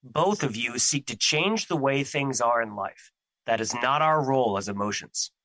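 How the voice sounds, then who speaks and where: A man's voice: medium pitch, expressive, normal speed, sharp tone, dry recording, poor audio. A man playing Fear as a personified emotion in an audio drama, troubled, defensive and duty-bound, with earnest, formal phrasing. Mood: stern.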